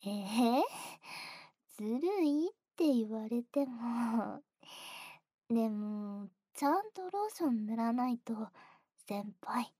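A young woman voicing a playful junior who teases the listener, moving between soft speech and close whispers with frequent giggles. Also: on the high side, breathy edge, dry recording, exaggerated voice. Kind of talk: whispered ASMR roleplay.

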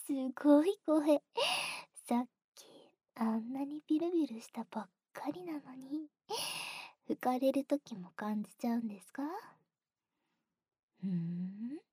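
A young woman voicing a playful junior who teases the listener, moving between soft speech and close whispers with frequent giggles. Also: high voice, unhurried, long pauses, breathy edge, no echo, exaggerated voice. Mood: teasing. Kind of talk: whispered ASMR roleplay.

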